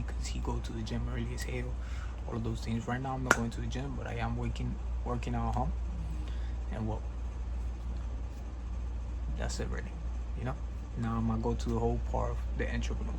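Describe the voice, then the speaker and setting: A man's voice: medium pitch, background noise. A twenty-year-old man speaking casually to his vlog audience in blunt, slangy, earnest motivational straight talk. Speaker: vlogger and real estate entrepreneur.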